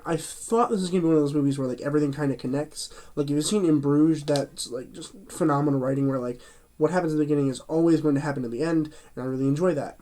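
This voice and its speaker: A man's voice: fairly high pitch, a little mellow. A man hosting his own movie review channel, chatting casually through a cold that leaves him a bit stuffy and nasal, rambling with plenty of fillers and restarts.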